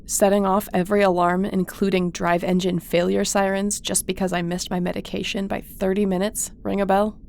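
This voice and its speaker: A woman playing a caring space captain in a sci-fi audio roleplay, affectionate and gently teasing. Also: medium pitch, little pitch movement, brisk, creaky, few pauses, slight background noise, close to an everyday voice.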